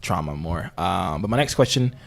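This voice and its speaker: A man plays an earnest amateur investigative podcast host with a lowish voice. He stumbles through his questions awkwardly and hesitantly. He is eager to avoid any argument. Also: lively intonation, moderate pace, vocal fry. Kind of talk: mock investigative interview.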